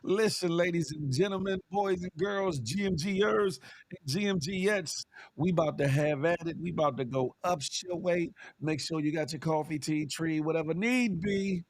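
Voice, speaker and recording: A man with a scratchy, strained voice opens his morning show in a lively, preacher-like way, his fairly high voice swooping up and down. He sounds close and dry, with no room around him. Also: normal speed, dry recording. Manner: animated, swoops in pitch, preacher-like encouragement. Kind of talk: lively live-stream show opening.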